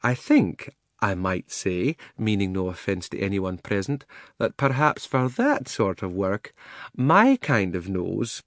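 A man's voice: fairly low pitch, big pitch swings, slow pace, a bit bright, no room sound, studio quality, heavily acted. A man voicing a mild, genteel female elephant in a storybook, thoughtful and politely pedantic. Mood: prim.

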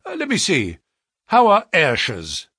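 A man voicing a brisk, sharp-talking middle-aged male financier in a read-aloud story, speaking eagerly and quickly. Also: high voice, huge pitch swings, slightly rough, no echo, studio quality, voice pushed up, exaggerated voice.

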